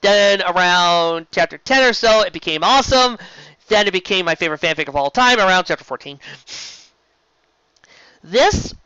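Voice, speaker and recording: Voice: mid-range voice, lively intonation, moderate pace, pauses often, pushed and bright, smooth, dry recording, muffled recording. A show host giving a casual, rambling fan review in a gushing, emphatic way, often tripping over words and restarting. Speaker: host of a fan fiction live-read show.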